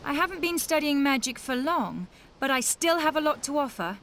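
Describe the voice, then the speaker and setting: High-voiced, feisty young sorceress. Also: female voice, very animated, moderate pace, a bit bright, slight background noise, heavily acted. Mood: eager, friendly. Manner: sassy, direct. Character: feisty, confident young woman mage, blunt and sharp-tongued. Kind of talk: animated video game voice acting.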